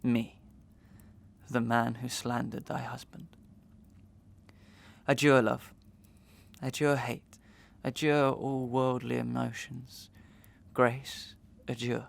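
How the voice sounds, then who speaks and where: A man performs a wronged, despairing young gentleman from a Victorian stage melodrama. His middle-pitched voice has a pronounced creak, and he declaims every phrase with noble, archaic passion. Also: flat delivery, takes it slowly, long pauses. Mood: mournful farewell. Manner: declamatory, archaic, impassioned delivery. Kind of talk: Victorian stage melodrama acting in an audio drama.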